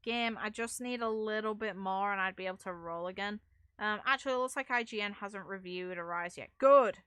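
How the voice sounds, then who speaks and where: A woman's voice: mid-range voice, fairly quick, fairly bright tone, clear, resonant tone, dry recording. A British woman chatting casually while streaming a game, with a blunt, opinionated manner and some mild swearing.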